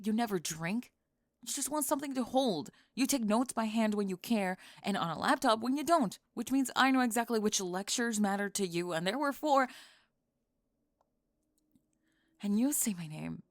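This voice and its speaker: A young woman playing a sharp-tongued, defensive goth college student who is secretly smitten, in an ASMR roleplay, speaking in halting, clipped, emphatic phrases. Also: medium pitch, dry recording, clean studio sound, close to an everyday voice. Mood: intense, exasperated. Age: young adult. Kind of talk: ASMR roleplay monologue, intimate audio drama.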